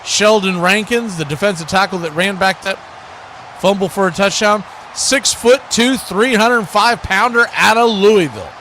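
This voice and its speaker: High-pitched American sports announcer, noisy broadcast. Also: male voice, normal speed, sharp tone. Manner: announcer delivery describing action in clipped phrases. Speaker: play-by-play radio announcer and host. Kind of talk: live American football commentary and station promos.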